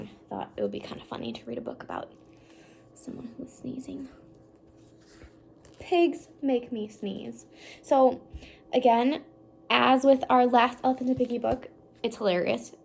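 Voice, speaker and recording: Lady doing a kids read-aloud, high mellow voice, chatty little asides and questions. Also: creaky, slight background noise. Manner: explains pictures, asks listeners questions. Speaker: picture book read-aloud presenter.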